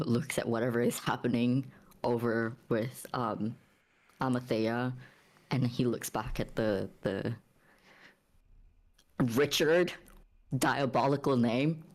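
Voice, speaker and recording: Very low-voiced woman playing a tabletop game. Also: steady tone, slow, a little mellow. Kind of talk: casual in-character narration and chat.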